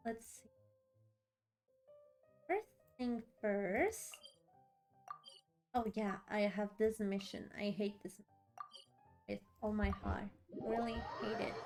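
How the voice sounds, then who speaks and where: Girl streamer chatting over game music, kinda sleepy n slow, woman w a highish voice. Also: gravelly. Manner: chatty, repeats phrases for emphasis. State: a bit sleepy after a long day. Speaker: livestreamer. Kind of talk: casual game livestream chat. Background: background music.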